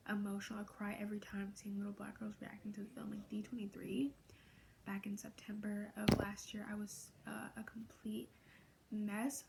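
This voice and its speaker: A young woman in her late teens talking in a casual fan vlog, gushing in a soft, half-whispered way, with lots of "like" fillers. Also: medium pitch, vocal fry, slight background noise, low-quality recording. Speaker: vlogger.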